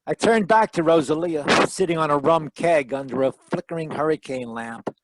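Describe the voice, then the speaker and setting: An actor playing a brusque, world-weary private detective in 1940s radio style, terse and wry. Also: male voice, on the high side, moderate pace, noisy background, lightly acted. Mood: brooding narration. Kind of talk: 1940s radio detective acting with first-person narration.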